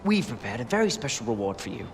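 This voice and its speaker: An actor playing a cheery, careless British stoner and amateur chemist in a video game, chirpy and blasé. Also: male voice, mid-range voice, very animated, fast talker, a little hiss or hum. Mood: cheerful. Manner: chirpy, blasé, mock-formal at times. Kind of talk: video game cutscene acting.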